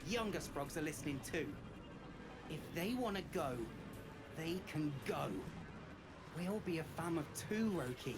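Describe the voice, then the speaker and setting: Young street girl: loyal, defiant, slangy, unhurried game dialogue with animated pitch over music. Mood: earnest, hurt. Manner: slangy, punchy, emotive. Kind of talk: video game character acting. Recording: music under the voice.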